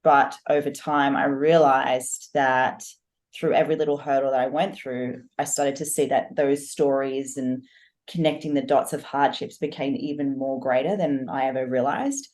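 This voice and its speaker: A woman's voice: rumbling low, fairly even intonation, moderate pace, a little mellow. A woman, a business coach, telling her life story as a guest in a podcast interview, earnest and reflective. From Australia. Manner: earnest, reflective, talks in long flowing stories.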